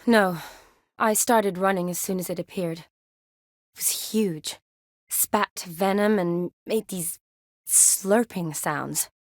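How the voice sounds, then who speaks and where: An actress playing a young army medic in a fantasy video game, a warm, playful friend with an expressive, teasing delivery. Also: female voice, mid-range voice, normal speed, dry recording, clean studio sound, lightly acted. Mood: serious, thoughtful. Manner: expressive, teasing, sighs and soft chuckles.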